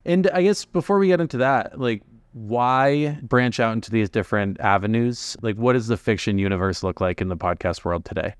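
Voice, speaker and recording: A man's voice: mid-range voice, moderate pace, few pauses, clear, resonant tone, dry recording. A man hosting a podcast, delivering introductions and questions to a guest. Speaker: podcast host. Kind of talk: scripted show introduction and interview questions.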